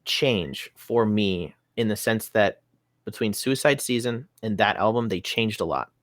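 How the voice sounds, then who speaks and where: A man's voice: on the low side, a little slow, fairly bright tone, dry recording, clean studio sound. A young adult man, a musician guest on a podcast, chatting casually and rambling a bit as he thinks aloud.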